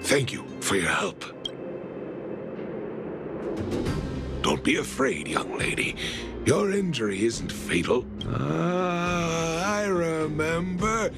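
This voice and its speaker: A gentle, worn-out miner dad from a video game. His voice is fairly high and creaky, rising and falling a lot, with halting, earnest phrasing. Music plays behind him. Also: lively intonation. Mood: weary, grateful. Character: weary, gentle, peacemaking miner and father.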